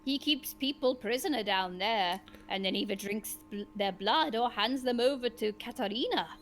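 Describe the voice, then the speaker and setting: A woman voicing a grumpy middle-aged male sage with a sharp, wavering voice and a pompous, drawn-out delivery, for a storybook reading. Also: fairly high pitch, slight background noise, close to an everyday voice. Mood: musing, fond.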